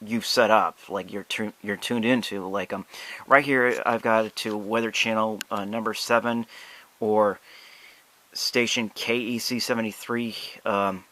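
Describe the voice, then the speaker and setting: Bright-voiced American man, halting and low-pitched. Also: fairly even intonation. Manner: halting, hesitant delivery with drawn-out pauses. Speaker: product reviewer. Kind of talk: casual unscripted product demonstration.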